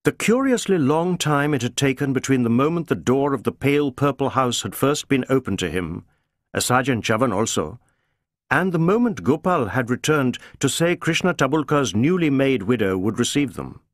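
A man's voice: mid-range voice, animated, normal speed, dry recording, clean studio sound. A man reading a novel aloud as scripted, dramatic audiobook narration.

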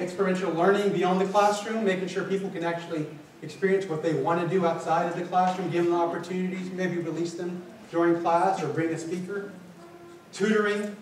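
A man in his forties from the Philippines, giving an energetic conference talk and asking the audience questions. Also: high-pitched, a little hiss or hum. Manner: energetic, paces and gestures, asks the audience questions. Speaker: college administrator presenting. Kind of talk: conference presentation. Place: small meeting room with windows. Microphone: far camera, no visible mic. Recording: echoey room picked up from far off.

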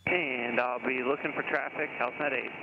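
A man's voice: mid-range voice, fairly even intonation, normal speed. A man calling in as a pilot over the radio, polite and a bit hesitant. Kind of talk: radio phraseology. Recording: muffled radio with loud engine noise.

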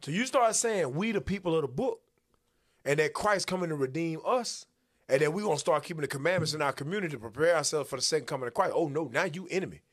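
A man, hosting a religious commentary show and teaching from the Bible in an animated, preacherly way. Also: on the high side, quick pace. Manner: animated, preacherly, calls for verses. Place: small podcast studio. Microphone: boom-arm studio mic.

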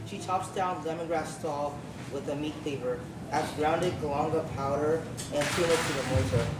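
A man's voice: fairly high pitch, normal speed. A young man reading stage directions aloud as the narrator of a staged play. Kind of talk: scripted narration, read aloud. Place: large public hall, on stage. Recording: echoey hall, distant microphone, clear noise.

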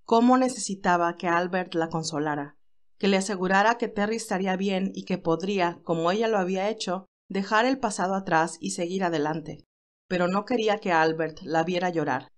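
A woman reading a scripted romantic story as its narrator, in a dramatic storytelling style. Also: fairly low pitch.

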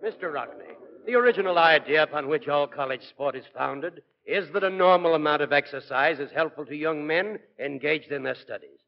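A skeptical academic at a hearing in a 1940s radio play. His voice sits a little high and his phrasing is formal and precise. The sound is dull, like an old broadcast. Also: brisk, vocal fry, hardly any pauses. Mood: formal, probing.

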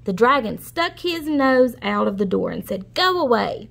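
A woman reading a storybook aloud to children in an expressive, explanatory way. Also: fairly high pitch, normal speed, creaky. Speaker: children's read-aloud host.

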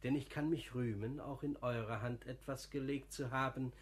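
Male actor as older friar, mid-pitched, humble, plain-spoken, confiding, classical radio drama. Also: fairly even intonation, moderate pace, lightly acted. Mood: humble, friendly.

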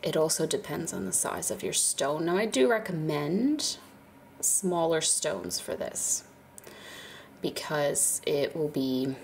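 Low-voiced woman with a stuffy cold. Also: takes it slowly, vocal fry, slight background noise. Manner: chatty and relaxed, with fillers and small laughs. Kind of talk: casual hands-on tutorial narration. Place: indoors at a craft table, birds outside.

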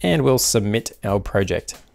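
A man with a gravelly, fry-edged voice talks through a software workflow one step at a time. His delivery is calm and unhurried, a tutorial voice-over with faint music underneath. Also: fairly low pitch, no room sound. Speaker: tutorial host. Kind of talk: screen-recorded software tutorial.

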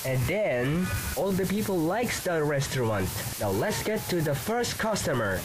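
A man's voice: on the high side, sing-song, unhurried. A man voicing a bossy, exasperated young caveman restaurant owner in an amateur cartoon, with a stilted, emphatic delivery, on a hissy home recording.

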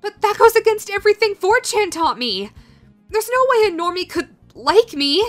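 Woman acting as high-voiced, awkward, flustered young woman. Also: very animated, faint music behind. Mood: agitated, despairing. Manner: halting, nervous, stammering. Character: awkward, self-deprecating young meme-obsessed woman flustered by love. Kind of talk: scripted romantic comedy audio roleplay.

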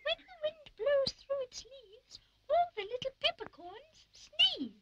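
A woman's voice: very high-pitched, big pitch swings, takes it slowly, lo-fi sound, heavy background noise, full character voice. A woman voicing a perky, preening but helpful talking bird in a children's puppet adventure, with a pert, sing-song manner.

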